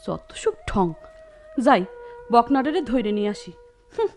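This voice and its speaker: High-pitched coquettish village girl. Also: very animated, unhurried, slight background noise. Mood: pouting, annoyed. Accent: rural Bangladeshi. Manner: coquettish, pouting. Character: teasing, impatient young village woman in love. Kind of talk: radio drama acting.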